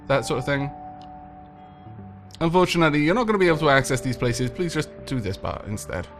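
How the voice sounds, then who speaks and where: A man with a slight cold, chatting playfully to himself and the game's tenants as he plays. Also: medium pitch. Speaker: let's play host. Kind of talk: casual gameplay commentary. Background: game music.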